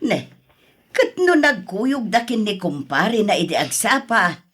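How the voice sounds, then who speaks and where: An actress with an Ilocano accent playing a concerned sister-in-law in a radio drama, frank and sympathetic in a conversational way. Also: female voice, medium pitch, very animated, unhurried, a bit bright, breathy edge, full character voice. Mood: casual.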